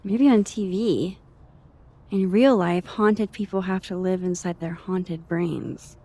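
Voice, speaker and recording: A woman's voice: fairly low pitch, steady tone, slow, dark tone. An actress playing a dry-witted, introspective young woman in a naturalistic video game, speaking in an understated, wry, hesitant way. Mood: wry, guarded.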